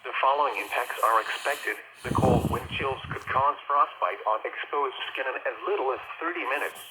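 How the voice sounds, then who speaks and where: A man's voice: medium pitch, normal speed, noisy background. A man reading a scripted weather bulletin in a stiff, impersonal, segmented way, heard through a radio speaker.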